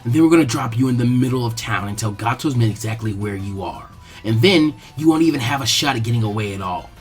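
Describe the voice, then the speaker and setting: A man's voice: mid-range voice, lively intonation, quick pace, soft background music, voice pushed down, natural voice. A man voicing a brash, cocky ninja boy with a taunting, tough manner in a story reading. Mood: threatening.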